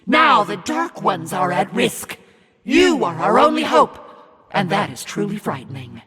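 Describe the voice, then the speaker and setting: A man voicing a menacing, scornful demonic narrator in a video game, commanding and mocking in a theatrical, raspy delivery. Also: high voice, wildly swooping pitch, unhurried, sharp tone, heavily acted. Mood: menacing, furious. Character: demonic evil narrator, menacing, scornful, imperious servant of darkness. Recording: long reverb over music.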